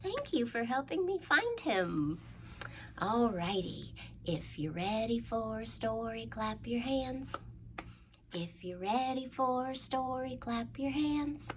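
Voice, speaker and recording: A woman presenting a children's storytime, reading aloud in a sing-song, questioning way with playful pauses and hushed asides. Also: a little high, big pitch swings. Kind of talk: animated read-aloud for young children. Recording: faint background noise.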